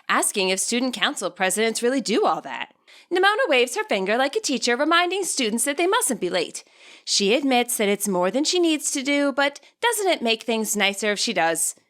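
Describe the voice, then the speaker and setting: A woman reading scripted fiction aloud as an expressive audiobook narrator. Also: on the high side.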